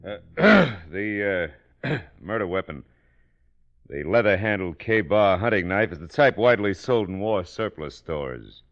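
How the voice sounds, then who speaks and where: Detective captain, low voice, formal, halting, businesslike, vintage radio docudrama, lo-fi. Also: male voice, very animated, a bit bright, heavily acted. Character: official, businesslike male police detective captain. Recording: dull old radio recording.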